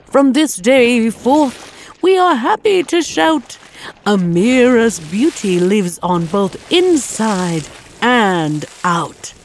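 A woman's voice: on the high side, very animated, slight background noise, heavily acted. A woman voicing an angry magic water spirit in a storybook, scolding in declamatory proclamations. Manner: slow, declamatory rhyming proclamation. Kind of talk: storybook character voice.

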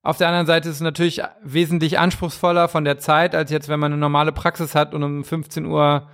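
A man's voice: a little high, level tone, quick pace, a bit bright. A man hosting a podcast interview in a conversational way, feeling his way through wordy, rephrased questions.

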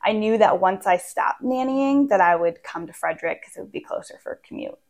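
A woman's voice: mid-range voice, lively intonation, a little fast, a little mellow. A young woman from Maine, a real estate agent answering interview questions in a casual, chatty way with lots of fillers.

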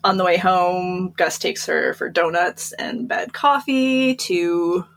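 A woman's voice: fairly low pitch, takes it slowly, a bit bright, a little hiss or hum. A giggly, chatty woman co-hosting a book podcast and casually recapping stories.

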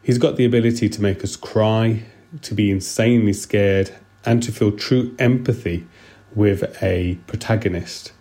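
Low-pitched, mellow-voiced man giving a book review. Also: steady tone, moderate pace. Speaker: GP and training programme director, podcast guest. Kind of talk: prepared book review, spoken to listeners.

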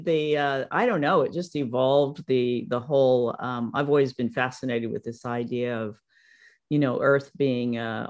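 Man, voice actor guest, soft-spoken, halting, many fillers, casual interview. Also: medium pitch.

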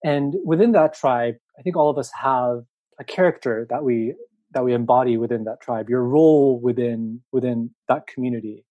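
A man's voice: medium pitch, moderate pace, no echo, dull audio. A man raised in the United States, a podcast guest giving reflective, conversational answers full of fillers and restarts. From Japan, raised in the United States. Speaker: podcast guest, venture capitalist.

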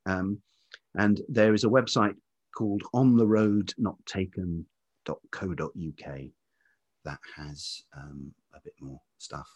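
Reflective guy being interviewed, really low husky voice, halting and thoughtful. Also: steady tone, a little mellow, highs cut off. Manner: thoughtful, halting, searching for words. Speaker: interview guest, writer and musician. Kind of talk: reflective conversational interview talk.

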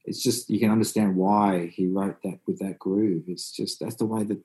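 Deep, warm-voiced musician rambling eagerly. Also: male voice, fairly even intonation, moderate pace. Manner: enthusiastic, rambling, full of "you know". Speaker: musician in a band, guest. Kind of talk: conversational interview.

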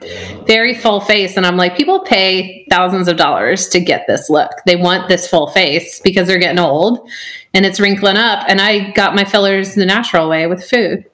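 A woman's voice: mid-range voice, moderate pace, a bit bright. A woman who writes for TV, chatting as a podcast guest. She talks in a dry, self-mocking and chatty way. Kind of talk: casual chat.

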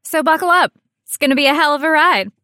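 A woman's voice: high-pitched, fast, pushed and bright, no room sound. A woman co-hosting a podcast with casual, comedic banter in a playful, jokey manner. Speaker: podcast co-host.

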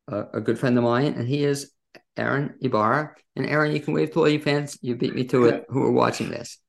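A man's voice: mid-range voice, gravelly. A man hosting an interview podcast over a video call, addressing listeners and his guest. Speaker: podcast host. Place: remote video call from his own studio.

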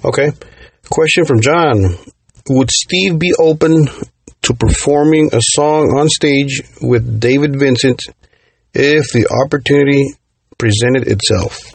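A man with a slightly husky voice hosts the interview, reading fan questions and gushing like a fan. His voice sounds close and dry, with no room around it. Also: mid-range voice, measured pace, no echo. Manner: enthusiastic fan, says "man" often.

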